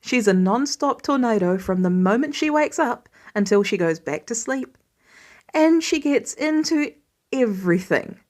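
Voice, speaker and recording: A woman's voice: a little high, animated, measured pace, a little mellow. Woman reading a story aloud expressively. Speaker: podfic reader. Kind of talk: expressive audiobook-style narration of fan fiction.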